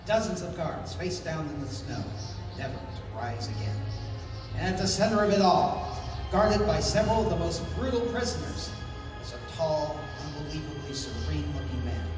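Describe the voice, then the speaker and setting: High-pitched theatrical radio announcer, man. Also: animated, normal speed. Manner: dramatic, theatrical. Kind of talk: 1930s radio serial narration. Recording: echoey hall, distant mic, loud music.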